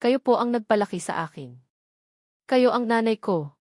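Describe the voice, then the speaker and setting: A woman's voice: a little high, expressive, normal speed, a little mellow, dry recording, clean studio sound, close to an everyday voice. A woman voicing a tearful, forgiving young daughter in a romance audio drama, speaking tenderly and with emotion. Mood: hurt, tender.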